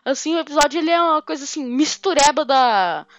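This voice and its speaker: Giggly high-voiced woman with a Mineiro accent. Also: animated, fast talker. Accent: Mineiro Brazilian Portuguese. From Belo Horizonte, Brazil. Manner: chatty, giggly, rambling with fillers. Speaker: podcast co-host. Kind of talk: casual fan chat. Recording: muffled lo-fi audio with faint music.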